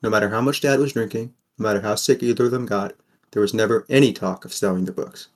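Man as a low-voiced, wry teenage boy narrator. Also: quick pace, natural voice. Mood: wry, reflective. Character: sixteen-year-old boy, wry, burdened, caring narrator. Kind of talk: first-person scripted narration, audio fiction.

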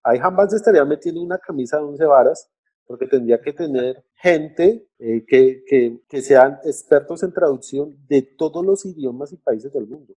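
A man, co-hosting a casual talk about language teaching, halting with restarts and fillers but getting lively when he argues. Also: a little high. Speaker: co-host discussing language teaching. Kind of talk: casual podcast chat.

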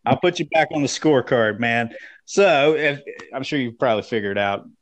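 A man hosting a podcast, chatting in an animated, joking way and swearing casually. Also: medium pitch, moderate pace, a little hiss or hum. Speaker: podcast host. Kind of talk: casual chat.

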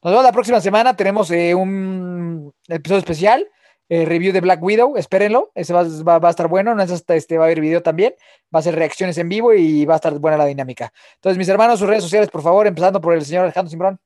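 A man's voice: high-pitched, fast, darkish tone, no echo, studio quality. A man with a Mexican accent hosting a podcast, teasing his co-hosts and swearing freely in casual banter. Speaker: podcast host. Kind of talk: casual chat.

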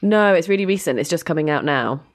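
A woman's voice: sits low, lively intonation, fast. A British woman co-hosting a podcast, chatting casually in an effusive, gushing way. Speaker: podcast co-host.

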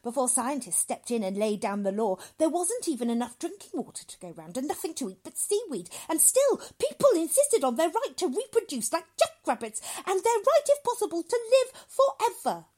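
Woman putting on a loud, swooping, pompous old male doctor. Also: sits high, very animated, moderate pace, hardly any pauses, pitched above the performer's own voice. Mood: severe, lecturing. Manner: emphatic, declamatory. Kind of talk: short story dramatic reading.

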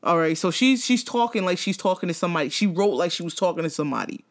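A woman in her thirties co-hosting a casual gossip chat, blunt and profane, launching into animated rants. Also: lowish voice, fast talker. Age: 30s. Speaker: podcast co-host.

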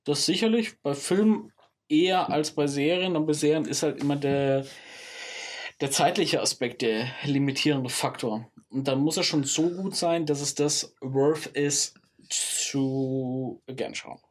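A man's voice: fairly high pitch, unhurried. A man in his thirties co-hosting a podcast in casual banter, joking and mixing English words into his talk. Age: 30s. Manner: jokey, drops English words into speech. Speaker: podcast co-host.

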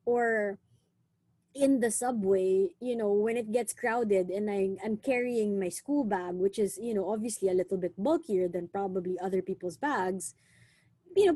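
A young woman from the Philippines with a Filipino accent, chatting casually and lively, often saying "you know" and slipping in Tagalog words. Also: mid-range voice, no echo. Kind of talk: casual conversation.

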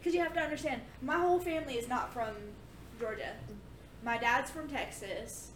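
Playful podcast co-host, woman with a higher voice, heard a bit off-mic in an echoey room. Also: lively intonation, moderate pace, recorded from a distance, slight background noise. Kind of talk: casual chat.

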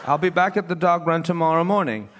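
A man's voice: on the high side, fast, noisy background, natural voice. A man performing a comic staged reading as a lovelorn, eager dog, earnest and matter-of-fact about absurd romantic hopes. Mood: earnest, hopeful.

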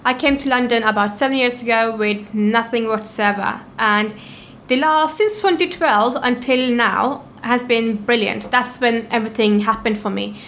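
A woman from Norway speaks as a podcast guest in a fairly high voice. She is plain-spoken and encouraging, with clear noise in the background. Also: moderate pace, hardly any pauses, fairly bright tone. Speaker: podcast guest, business owner. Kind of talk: conversational interview answers.

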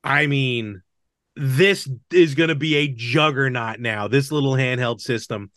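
A man hosts a casual podcast with an enthusiastic, conversational delivery. His voice sits at a middling pitch. The sound is dry, with very little room in it. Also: measured pace, fairly bright tone, dry recording. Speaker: podcast host. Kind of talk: casual podcast chat about retro games.

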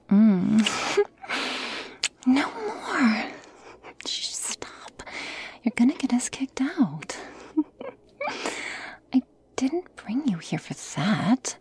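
Playful, teasing girlfriend played by a woman for ASMR roleplay: very slow, breathy whispering with creaky edges. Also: fairly high pitch, wildly swooping pitch, a little mellow, a little hiss or hum, exaggerated voice. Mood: hushed, playful scolding.

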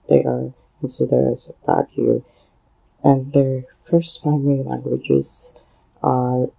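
Hong Kong-accented man, solo podcaster, gravelly, halting delivery, flat pitch. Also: low-quality recording. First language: Cantonese. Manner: halting, many fillers and pauses. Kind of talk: solo spoken commentary.

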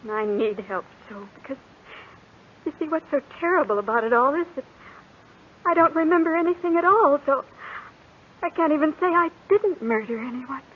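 A woman's voice: high voice, lively intonation, moderate pace. A woman acting a frightened, helpless young woman in an old radio melodrama, with an earnest, plaintive manner. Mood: frightened, pleading. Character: frightened, helpless young amnesiac blonde in distress. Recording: muffled, noisy vintage radio recording.

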